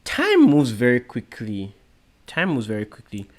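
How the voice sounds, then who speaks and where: A man co-hosting a casual chat with his wife, talking in an earnest, measured way with some gentle teasing. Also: medium pitch, wildly swooping pitch. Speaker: co-host of a married couple's channel.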